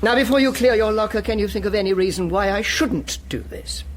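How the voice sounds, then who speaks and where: A man's voice: high voice, a little fast, slight background noise. An actor playing a pompous, cold male official with formal, oratorical delivery in a radio drama. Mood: stern, sardonic.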